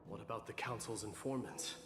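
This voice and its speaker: A man playing a resolute warrior prince in a video game, speaking in a formal, solemn and measured way. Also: lowish voice, lightly acted. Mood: guarded, grave. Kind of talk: dramatic video game voice acting. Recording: game music under the voice.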